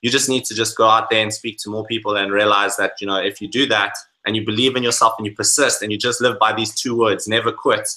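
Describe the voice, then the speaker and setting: Earnest low-voiced man sharing on a call. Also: steady tone, quick pace, hardly any pauses, bright, forceful tone, poor audio. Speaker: team member sharing on a call. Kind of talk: motivational testimony.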